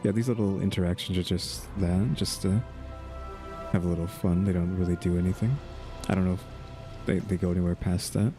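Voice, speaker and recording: A man's voice: sits low, steady tone, a little fast. A man doing casual, laid-back let's-play commentary, chatting conversationally and reading game lines aloud. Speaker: gaming channel host. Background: game music.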